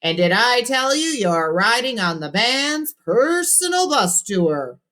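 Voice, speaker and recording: A woman's voice: a little high, big pitch swings, slow, fairly bright tone, voice pushed up, exaggerated voice. A woman voicing a showy, upbeat male radio DJ speaking in announcer patter.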